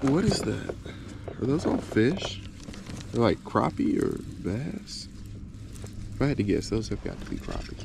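A young man narrating his kayak fishing trip casually, thinking out loud about his plans as he goes, recorded on a camera mounted on his kayak out on a lake. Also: medium pitch, gravelly. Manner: relaxed, thinking aloud, quick asides. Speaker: kayak angler vlogger. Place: on a kayak on an open lake outdoors. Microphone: boat-mounted action camera. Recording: outdoor noise from water and wind.

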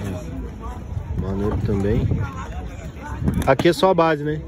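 A Brazilian man with a Brazilian Portuguese accent, filming a casual walkthrough of an outdoor flea market on a handheld phone and excitedly pointing things out as he goes. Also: mid-range voice, slow. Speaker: toy collector vlogger. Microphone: handheld phone camera. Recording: busy crowd noise outdoors. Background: market crowd chatter and traffic.

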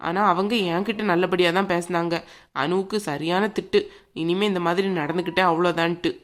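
A woman playing a gentle grandmother in a family drama, speaking in a soothing, colloquial, conversational way. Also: lowish voice, fairly even intonation, a little fast, close to an everyday voice. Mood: confiding, placating. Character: gentle, peacemaking grandmother, mother of a grown daughter.

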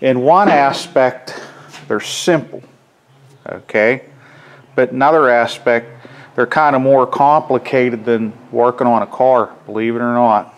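A man's voice: mid-range voice, a little slow. A middle-aged man, a mechanic, talking casually and ramblingly off camera while he shows his work in a garage workshop. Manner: folksy, rambling, plainspoken. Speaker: mechanic and chainsaw hobbyist. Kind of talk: casual workshop how-to vlog. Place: cluttered garage workshop, indoors.

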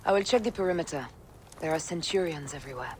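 An actress playing a grave, determined warrior woman leading a secret order, in a measured, resolute video game performance. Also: low voice, lively intonation, darkish tone, slight background noise. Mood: businesslike. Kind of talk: dramatic video game cutscene acting. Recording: dramatic music under the voice.

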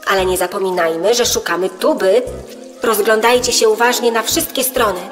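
A woman acting a calm, knowledgeable guide to young explorers in a children's audio drama, reassuring and explanatory. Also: medium pitch, moderate pace, big-room sound, soft background music, close to an everyday voice.

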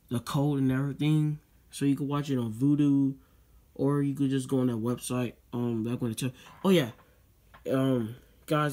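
A teenage boy hosts his own channel from a desk, talking loosely with lots of "um" and "guys". His voice sits at an ordinary middle pitch. He circles back to the same requests again and again. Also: mellow. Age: teens. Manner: rambling, many fillers and repeated "guys". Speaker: YouTube channel host. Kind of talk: casual vlog unboxing talk.

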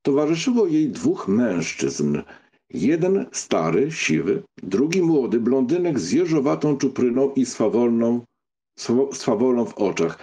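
A man narrating a literary audiobook from a script, with a gravelly, husky, mellow voice. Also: medium pitch, big pitch swings, measured pace.

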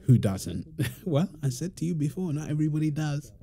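A man acting as a young father in a domestic roleplay audio, with a very dark, relaxed voice and lively, swooping intonation. He is playful and affectionate, teasing in an informal, soft-spoken way over faint background noise. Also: a little high, brisk, vocal fry. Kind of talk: intimate roleplay audio, casual domestic chat.

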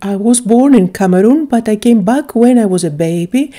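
A woman's voice: on the high side, lively intonation, normal speed, mellow. Woman narrating a craft tutorial. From Greece. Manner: chatty and enthusiastic, with frequent "uh" fillers.

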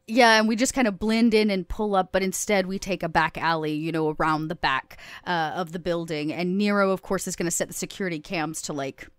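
A woman's voice: fairly low pitch, fairly even intonation, fairly quick, few pauses, clear, resonant tone, no room sound. A woman playing a tabletop roleplaying game, improvising actions in a chatty, informal way. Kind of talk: casual improvised game play.

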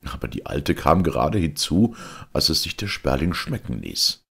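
Very deep, gravelly male storyteller. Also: sing-song, moderate pace, a bit bright. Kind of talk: scripted fairy tale narration.

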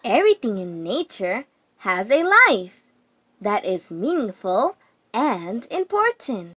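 A woman reading a children's picture book aloud, deliberately, phrase by phrase with pauses. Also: medium pitch, very animated, fairly bright tone, slightly rough, no echo.

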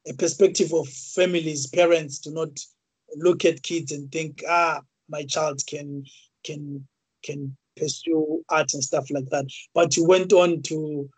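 A man in his thirties hosting an interview over a video call, talking in a halting way with many fillers and repeated words. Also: fairly high pitch, darkish tone, slightly rough, no room sound, low-quality recording. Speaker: interview host. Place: small room indoors. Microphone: video call webcam.

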